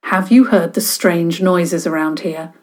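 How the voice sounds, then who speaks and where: A woman's voice: on the low side, a little slow, darkish tone, close to an everyday voice. A woman voicing a serious young boy detective asking pointed questions. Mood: flustered. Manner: businesslike, determined.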